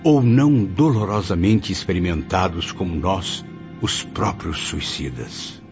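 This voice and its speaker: An actor playing a male spirit who gravely recounts his memories in a radio drama, with dramatic, literary delivery. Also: sits low, a little slow. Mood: grave, awed. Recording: muffled recording over music.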